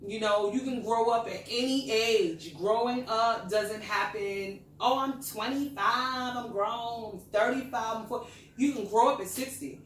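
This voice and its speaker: A woman hosting a casual group chat show, chatty and quick to laugh, slipping into preachy asides. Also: a little high. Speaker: podcast host. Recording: echoey room, distant mic, faint hiss.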